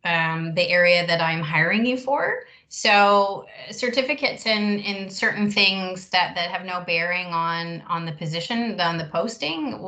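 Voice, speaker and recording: A woman recruiter answering questions in an online workshop, measured and reassuring, pausing often to find her words. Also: fairly low pitch, pushed and bright. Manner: measured, reassuring, with frequent pauses. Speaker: recruiter leading a career workshop.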